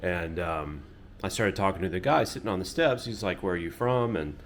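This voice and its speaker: A man with a very low voice talks casually to a handheld camera on a street at dusk. He rambles, filling his sentences with "you know" and "um". Faint outdoor noise sits under his voice. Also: expressive, moderate pace. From Florida. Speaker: travel vlogger. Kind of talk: casual reflective monologue to camera.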